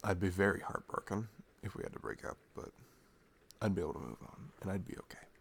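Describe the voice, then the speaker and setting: A man's voice: low-pitched, very animated, brisk, vocal fry, slight background noise, exaggerated voice. A young man playing a sweet, playful boyfriend in an ASMR roleplay, chatting tenderly and casually in a rambling, teasing way. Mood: tender, sincere. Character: sweet, playful, affectionate boyfriend cuddling his partner. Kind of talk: ASMR boyfriend roleplay, improvised intimate chat.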